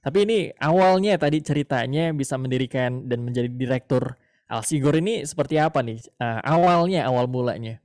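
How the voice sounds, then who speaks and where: A man's voice: mid-range voice, brisk, darkish tone, no echo, studio quality. A man hosting a radio interview, asking a guest long, loosely built questions with frequent verbal nods.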